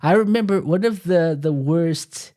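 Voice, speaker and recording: A man's voice: on the high side, unhurried, warm tone. Man hosting a casual chat. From Portugal. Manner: rambling, self-correcting storyteller. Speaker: podcast host.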